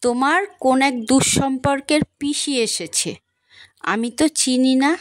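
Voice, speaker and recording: A caring village mother played by a woman from a cartoon. Her voice sits high, and she speaks practically with a questioning, fretful edge. Long reverb trails her words. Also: fairly even intonation, normal speed, close to an everyday voice. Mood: questioning, concerned. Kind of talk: cartoon dialogue.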